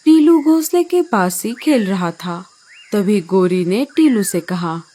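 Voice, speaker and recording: A woman's voice: medium pitch, expressive, slow pace, mellow. A woman narrating a scripted children's story.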